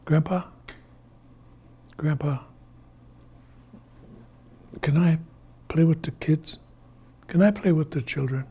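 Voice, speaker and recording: A man voicing a childish, sulky trickster in an oral folktale, whining, begging and excitable. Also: mid-range voice, moderate pace, hardly any pauses, breathy edge. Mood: pleading. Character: childish, sulky, pleading trickster who turns greedy.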